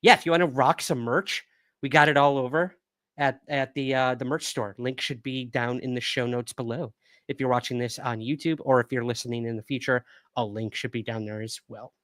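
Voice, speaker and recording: A man with a calming, middle-pitched voice hosts a livestream, chatting upbeat and easy with his viewers. He speaks close to a studio microphone in a dry room with no echo. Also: fairly quick. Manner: upbeat, thanks viewers and reads chat aloud. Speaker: livestream podcast host. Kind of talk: casual livestream chat with viewers. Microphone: new studio microphone.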